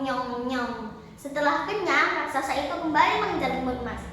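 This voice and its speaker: Female storyteller, very high voice, declamatory folktale recital, recorded far from the mic in reverberant space. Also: a bit bright. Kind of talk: memorised dramatic folktale storytelling. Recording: echoey hall, distant mic, faint noise.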